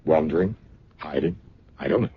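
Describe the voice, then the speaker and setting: An actor playing a patient, protective and quietly haunted husband in an old-time radio drama, earnest and soothing. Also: male voice, extremely low pitch, normal speed. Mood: grave recounting. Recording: dull old radio recording, faint noise.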